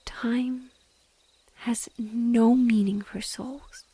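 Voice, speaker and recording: Woman acting as a sorrowful female banshee, breathy whisper, higher-pitched, tender and devoted, intimate audio drama. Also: warm tone. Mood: solemn. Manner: slow, tender, mournful.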